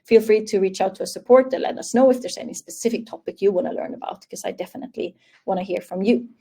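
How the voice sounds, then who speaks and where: A woman's voice: medium pitch, fast talker, gravelly, mellow. A young woman from Sweden with a Swedish accent, English her second language, presenting a live online training webinar in a friendly, upbeat way over a webcam call. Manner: friendly, upbeat, reading out audience answers. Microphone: webcam video call.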